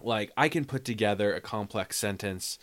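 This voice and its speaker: A middle-aged podcast host in a loose chat over drinks, with a somewhat low voice. He thinks aloud, restarts his sentences and laughs at himself often. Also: normal speed, a bit bright. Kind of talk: casual tipsy chat.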